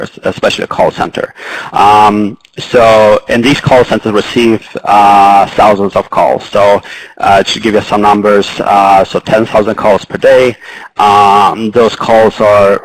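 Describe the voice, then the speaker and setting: A man with a Swedish accent speaking as a panelist, halting and full of fillers and restarts. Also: lowish voice, dry recording, low-quality recording. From Sweden. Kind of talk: panel discussion.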